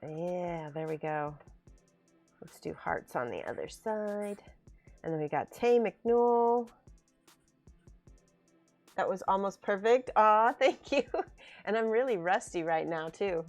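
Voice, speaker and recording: A woman's voice: mid-range voice, lively intonation, slow, long pauses. A woman hosting a casual livestream, chatting and laughing as she reads viewer comments aloud. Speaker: miniature painting streamer. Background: faint background music.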